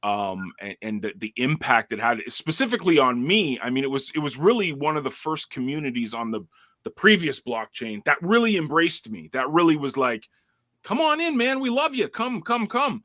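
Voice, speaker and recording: A man hosting a talk show, telling a story in an energetic way and often restarting his words. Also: a little high, moderate pace, no room sound, clean studio sound. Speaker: show host. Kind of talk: casual storytelling chat.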